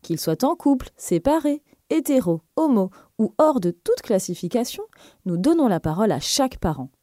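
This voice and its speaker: A woman's voice: a little high, very animated, normal speed, dark tone, no echo, studio quality. A woman hosting a podcast interview, asking friendly questions with small hesitations and laughing easily. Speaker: podcast host.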